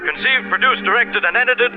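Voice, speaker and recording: A high-pitched, showy radio announcer. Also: male voice, fairly quick. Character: showy male radio announcer of a detective serial. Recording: muffled vintage radio, loud music behind.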